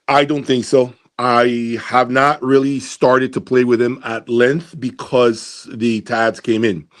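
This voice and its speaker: A man hosting an audio gear review, talking casually and emphatically to viewers, deliberate and repeating his points for emphasis. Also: medium pitch. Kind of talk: casual opinionated commentary to viewers.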